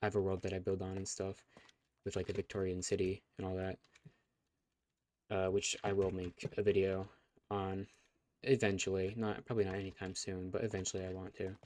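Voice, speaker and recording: A man's voice: low-pitched, completely flat delivery, a little fast, a little mellow. A young man casually chatting on a live stream as its host, rambling with fillers and restarts, his voice getting scratchy. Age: young adult. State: voice going scratchy.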